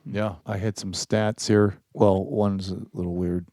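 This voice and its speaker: A man with a very deep, dark voice and little pitch movement. He reads film trivia in a dry, level way on a podcast. The sound is dry and close. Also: little pitch movement, measured pace, no echo. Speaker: podcast co-host. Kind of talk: casual chat.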